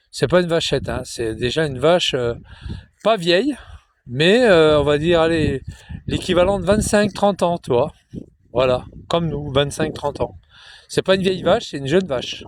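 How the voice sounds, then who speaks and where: High-voiced chatty man in a cow pasture. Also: a little hiss or hum. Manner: chatty, repetitive, coos to the cows in a high sing-song voice. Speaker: vlogger filming cows. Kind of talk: casual rambling talk to camera.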